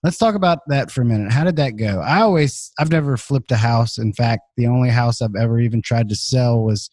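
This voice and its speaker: A man's voice: mid-range voice, fairly quick, a little mellow, dry recording. Casual male podcast host, dry close sound. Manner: friendly, casual, chatty with "kinda" and "like". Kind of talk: podcast interview.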